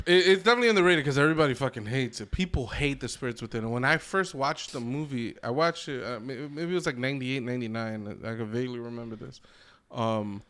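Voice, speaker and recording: Bright, forceful man, casual podcast co-host. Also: mid-range voice, clear, resonant tone. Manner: blunt, slangy, swearing. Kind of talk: casual chat, giving opinions.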